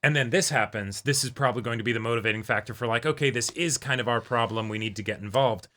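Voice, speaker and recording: A man chats in an easy, agreeable way. His voice is mid-pitched, bright and forceful. He talks like a co-host giving commentary, often voicing imagined lines to make his point. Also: quick pace. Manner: agreeable, acts out imagined dialogue. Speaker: co-host of a reaction show. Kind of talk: casual chat and commentary.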